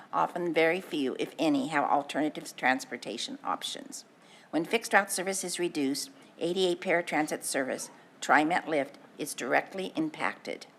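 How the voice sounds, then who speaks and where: A low-pitched woman reads a formal letter aloud at a public board meeting. Her reading is earnest and measured, with the odd stumble. A faint hiss sits under her voice. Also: a bit bright. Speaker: advisory committee chair.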